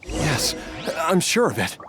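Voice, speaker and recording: Casting: weary tormented man, slow and halting, mid pitch with big swings, determined underneath. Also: big pitch swings, a bit bright, soft background music, heavily acted. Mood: dazed. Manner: halting, hesitant, sighing. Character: anguished, weary man struggling to remember, stubbornly determined. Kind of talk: video game voice acting.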